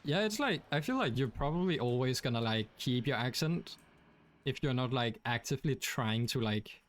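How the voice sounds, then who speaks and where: A man's voice: medium pitch, animated, normal speed. A young man from Denmark with a Danish accent, chatting casually while streaming a game, rambling with many fillers. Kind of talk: casual gaming stream chat.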